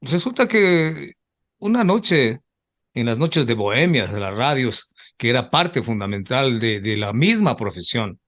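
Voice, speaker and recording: A man's voice: mid-range voice, big pitch swings, unhurried, a bit bright. An older man from Ecuador, a former radio announcer reminiscing in an interview and rambling on with repeated asides.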